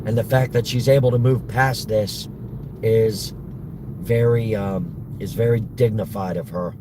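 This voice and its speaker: A man with a worn-out, hoarse voice chatting haltingly to his stream viewers while driving. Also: fairly even intonation. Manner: halting, restarts often, defensive. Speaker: live streamer answering chat. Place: in a moving car. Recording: loud car road noise. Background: road and engine rumble.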